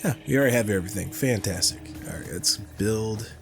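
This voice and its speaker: A man's voice: on the low side, fairly quick, gravelly, music in the background. A man hosting a casual gameplay commentary, sounding nasal from a cold and thinking aloud with many fillers. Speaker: gaming channel host.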